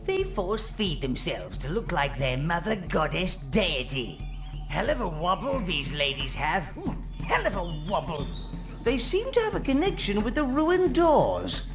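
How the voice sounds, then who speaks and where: A man playing a wicked, fawning old goblin advisor in a video game, with a raspy, croaky, theatrical delivery. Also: high-pitched, wildly swooping pitch, a little slow, full character voice. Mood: leering. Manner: theatrical, obsequious, exclaiming. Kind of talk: video game character acting. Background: loud music.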